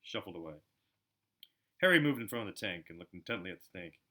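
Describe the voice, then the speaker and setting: A man reading a storybook aloud as an amateur narrator, in an expressive style. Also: sits low, fast. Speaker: amateur audiobook reader.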